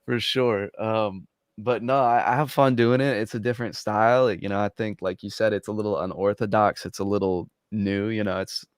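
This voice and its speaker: Soft-spoken man with a bassy voice. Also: steady tone, moderate pace, clear, resonant tone, no room sound, studio quality. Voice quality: bassy speaking voice. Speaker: guest rapper. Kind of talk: casual interview chat.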